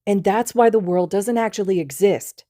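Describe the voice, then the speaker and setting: Lady putting on a giggly stoned teen boy, high and bouncy, rambling and sing-song, dry close sound. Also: expressive, normal speed, warm tone, no echo, natural voice. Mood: giddy. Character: giddy intoxicated teenage boy student. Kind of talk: fan fiction read aloud.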